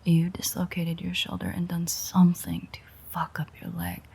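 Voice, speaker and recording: A woman playing a sardonic, teasing, world-weary female vampire in an intimate audio roleplay, with a breathy, husky, whispery voice and a dry manner. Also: on the low side, sing-song, moderate pace, warm tone, heavily acted. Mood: wry, reminiscing.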